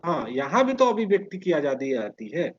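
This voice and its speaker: A man explaining history in conversation as an interview guest, speaking haltingly and repeating his phrases. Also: on the high side, sing-song, slight background noise. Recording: muffled lo-fi recording.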